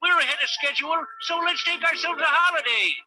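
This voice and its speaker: An actor playing an eager, slangy American cop sidekick with excitable delivery in a 1940s radio serial. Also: male voice, very high voice, brisk. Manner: excitable, slangy working-guy talk. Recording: old 1940s radio recording.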